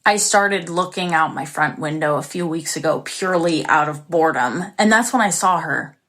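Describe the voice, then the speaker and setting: A woman's voice: low-pitched, moderate pace, a bit bright. An actress playing an isolated, anxious young woman who recounts her story in an intimate, confessional way for an audio drama. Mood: reflective. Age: young adult. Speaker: actress performing a monologue. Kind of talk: dramatic first-person audio drama narration.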